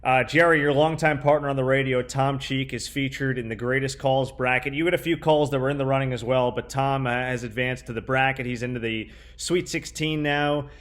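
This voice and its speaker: A man's voice: mid-range voice, fairly even intonation, brisk, few pauses, fairly bright tone. A young man hosting a conversational sports interview. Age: young adult. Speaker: interview host, sports broadcaster.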